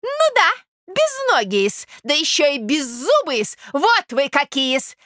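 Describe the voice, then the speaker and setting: A woman voicing a touchy, quarrelsome provincial gentlewoman in a literary audiobook, screechy and affronted. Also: sits high, very animated, takes it slowly, dry recording, clean studio sound, exaggerated voice. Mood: outraged, shrill. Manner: screechy, affronted, servile -s word endings.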